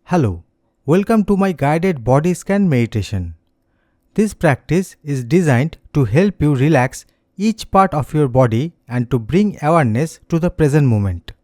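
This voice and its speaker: A man leading a slow guided meditation as an instructor, speaking in a gentle, soothing, scripted manner. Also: fairly high pitch, expressive, dry recording, clean studio sound.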